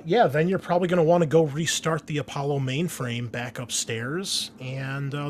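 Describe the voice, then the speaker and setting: A man hosting a tabletop roleplaying game as game master, recapping in a casual, rambling, joking and teasing way. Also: mid-range voice. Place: indoors at a desk.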